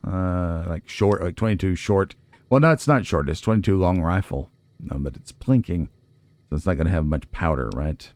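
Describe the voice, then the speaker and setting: Male let's play host: low, dark tone, folksy, chatty, thinking aloud as he plays. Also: normal speed, dry recording. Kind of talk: casual gameplay commentary.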